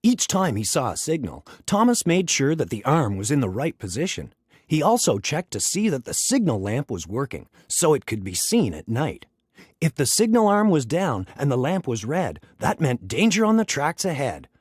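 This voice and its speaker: Male storybook narrator, very animated pitch, slightly husky, dry close sound. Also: moderate pace, no echo. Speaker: storybook reader. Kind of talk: expressive children's read-aloud narration.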